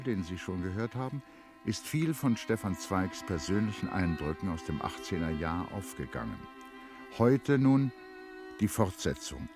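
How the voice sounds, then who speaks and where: Casting: male literary narrator for radio drama, low register, measured and solemn, music clearly behind.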